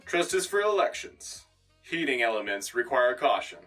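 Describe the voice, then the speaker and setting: A man acting as a formal, patriotic anchorman in a sci-fi radio drama. His voice is bright and forceful, slightly off the mic over music. He delivers scripted news in a measured, dryly witty way. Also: medium pitch, very animated, recorded from a distance. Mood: dry, wry. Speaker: radio host.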